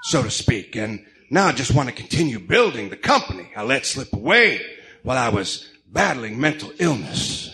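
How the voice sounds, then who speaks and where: A man voicing a broken, remorseful crime boss in a comic-book radio drama, theatrical, halting and pleading. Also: medium pitch, sing-song, sharp tone. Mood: self-justifying.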